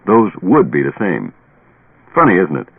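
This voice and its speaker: An actor playing a stubborn, haunted, tipsy professor who lectures deliberately and dramatically in a radio play. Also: male voice, low-pitched, wildly swooping pitch, gravelly, breathy edge, narrow, tinny audio, full character voice. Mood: insistent. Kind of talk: old radio drama acting. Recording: band-limited old radio recording, echoey.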